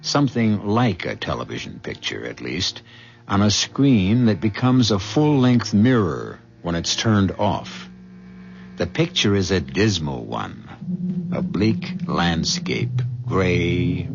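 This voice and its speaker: A man hosting a 1970s radio mystery as a solemn, ominous storyteller, with deliberate and portentous dramatic phrasing. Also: sits low, exaggerated voice. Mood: ominous, descriptive. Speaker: host narrator. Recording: muffled radio broadcast with music.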